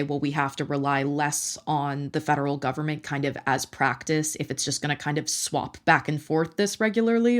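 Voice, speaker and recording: A woman with a notably low voice interviews her guest. She builds long questions slowly, hedging with fillers as she thinks aloud. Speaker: reporter and podcast host.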